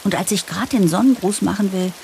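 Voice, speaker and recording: A woman's voice: mid-range voice, brisk, vocal fry, a little mellow, slight background noise, lightly acted. A woman playing a harried, idealistic new managing director who narrates an audio drama in a wry, confiding way. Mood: wry, weary. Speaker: narrator and lead character.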